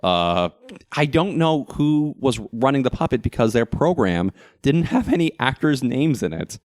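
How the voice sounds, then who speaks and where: A man's voice: medium pitch, very animated, moderate pace, no echo, clean studio sound. An American man co-hosting a podcast, chatting casually and wryly about local theater. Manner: jokey, wry, chatty. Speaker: podcast co-host.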